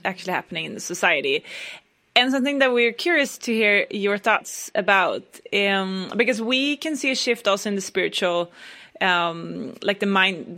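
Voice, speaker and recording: A woman interviewing conversationally, a little halting as she searches for words. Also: mid-range voice, pushed and bright.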